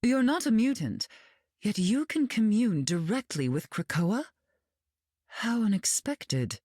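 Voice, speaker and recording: A woman's voice: mid-range voice, big pitch swings, takes it slowly, dark tone, dry recording, clean studio sound. An actress playing a glamorous, cutting mutant queen in a video game, arch, flirtatious and condescending in manner. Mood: haughty.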